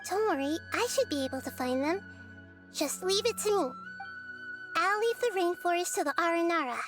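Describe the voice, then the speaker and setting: A tiny girl goddess from a video game speaks in a very high, childlike voice. She sounds wise and caring, choosing her words gently and thoughtfully. Faint music runs underneath. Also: expressive. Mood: reassuring. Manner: thoughtful, gentle, measured reassurance. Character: small girl deity, wise, kind and caring beyond her apparent years. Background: soft game music.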